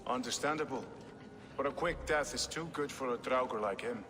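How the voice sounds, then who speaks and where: An actor playing a proud, ambitious Norse warrior king in a video game, speaking in formal, measured oratory. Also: mid-range voice, sharp tone, faint music behind, close to an everyday voice. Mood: stern, judging. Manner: grandiose, measured, commanding speech. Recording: reverberant hall with faint background noise.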